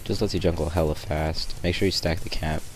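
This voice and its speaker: Raspy-voiced sick young man, very low. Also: fairly even intonation, a little fast, background noise. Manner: rambling, many ums and restarts. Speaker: gameplay commentator. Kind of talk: casual gameplay tutorial voice-over. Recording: voice-over with loud background music.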